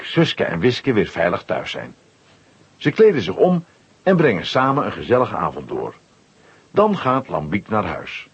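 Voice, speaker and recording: A man's voice: on the low side, slightly rough. A man narrating a children's audio play in a scripted storytelling style. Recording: slightly dull old audio recording.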